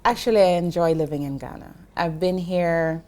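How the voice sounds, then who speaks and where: A woman's voice: fairly low pitch, moderate pace, mellow, a little hiss or hum. A middle-aged woman from Jamaica, a guest in an interview, explaining finance earnestly with frequent restarts. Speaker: investment professional guest. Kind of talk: interview conversation.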